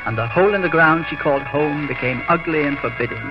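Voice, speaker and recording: Man narrating radio drama over loud music. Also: on the high side, fast talker. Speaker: host and narrator. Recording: muffled old radio, loud music behind.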